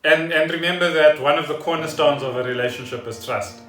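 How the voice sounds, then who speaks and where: A man's voice: a little high, a little fast, fairly bright tone, soft background music. A man chatting casually as a podcast co-host, explaining things in a rambling, storytelling way in a living room. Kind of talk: casual chat. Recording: roomy echo, distant from the mic.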